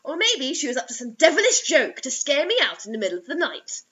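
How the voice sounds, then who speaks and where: A young adult woman giving an expressive, theatrical, mocking reading of a story on a webcam in a small room. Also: high voice, fast, muffled recording. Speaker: host reading bad fiction aloud.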